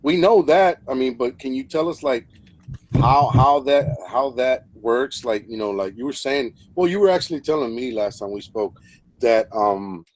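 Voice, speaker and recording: A man's voice: mid-range voice, normal speed, no echo, poor audio. Rambling man hosting a live stream. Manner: rambling, many fillers and repeats. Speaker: host. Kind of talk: casual live stream chat.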